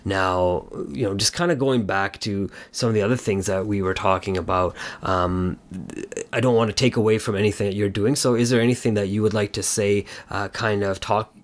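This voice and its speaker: A man with a fairly low voice talks as a podcast co-host. His delivery is casual and earnest, rambling a little as he searches for the next phrase. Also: steady tone, brisk. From Canada.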